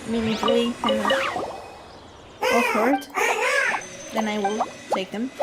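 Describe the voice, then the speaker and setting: A woman's voice: a little high, slow pace. A woman streaming a game, casually thinking aloud in a hesitant, rambling way. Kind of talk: casual live game commentary. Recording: game music behind.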